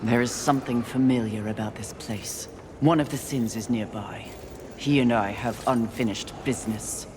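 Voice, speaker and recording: Stern low-voiced warrior woman, noisy backdrop. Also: normal speed. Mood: curt, determined. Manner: curt, cold, commanding. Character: stern, haughty female warrior on a grim mission. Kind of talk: video game cutscene dialogue.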